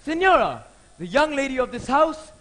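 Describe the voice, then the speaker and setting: A very high, lively, bright voice gives us a fussy, bewildered gentleman, as a man plays him. He exclaims with pompous puzzlement in a stage musical. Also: normal speed, slight background noise, heavily acted.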